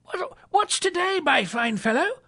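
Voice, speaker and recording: A woman's voice: a little high, big pitch swings, moderate pace, creaky, husky, dry recording, studio quality, voice pushed up, heavily acted. A woman voicing an elderly male miser from a storybook, giddy with joy and exclaiming in breathless, repeated bursts. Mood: excited, delighted. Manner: exuberant, exclamatory, breathlessly repetitive. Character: elderly miser man, reborn giddy and overjoyed.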